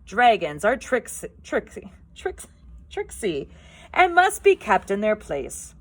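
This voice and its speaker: A woman's voice: medium pitch, huge pitch swings, slow pace, voice pushed up, full character voice. A woman voicing a self-important male Viking warrior who tries to sound noble, in a pompous manner.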